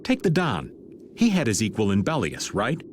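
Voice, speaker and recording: Wry, swooping middle-aged rogue. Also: male voice, fairly low pitch, huge pitch swings, normal speed, slight background noise. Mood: musing. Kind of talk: English video game voice acting.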